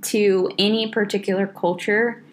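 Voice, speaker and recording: A woman's voice: medium pitch, fairly even intonation, normal speed. Chatty woman, lots of "like". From Southern United States. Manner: rambling, full of "like" fillers. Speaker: podcast co-host. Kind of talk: casual chat.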